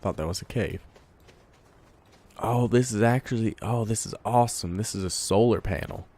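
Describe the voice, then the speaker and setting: Deep-voiced congested man playing a game. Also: normal speed. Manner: relaxed, thinking aloud while playing. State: congested from a cold. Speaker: gamer. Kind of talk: casual let's play commentary.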